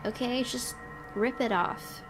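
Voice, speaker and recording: Expressive woman narrating over game music. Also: a little high, slow pace. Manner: expressive, slangy asides. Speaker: gaming YouTuber. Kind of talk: let's play, reading narration aloud with casual reactions.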